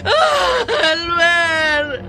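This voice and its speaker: A panicked accomplice in a horror radio play. Her voice is extremely high. She pleads hysterically, in a melodramatic, shrieking manner. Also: very animated, very slow, shouting, full character voice. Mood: hysterical alarm. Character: terrified pleading woman, criminal's hysterical girlfriend.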